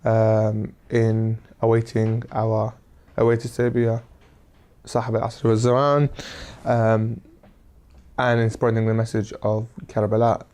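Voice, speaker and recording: A man tells the story of a tour in a measured way. His voice is flat and even, with a dark, warm tone. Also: on the low side. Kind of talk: interview recap.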